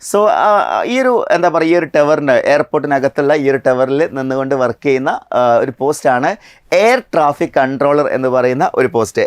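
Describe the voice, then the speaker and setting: A man's voice: a little high, clear, resonant tone, no echo, clean studio sound. A man with a Malayali accent presenting an explanatory exam lesson as a coaching instructor, addressing viewers directly with rhetorical questions.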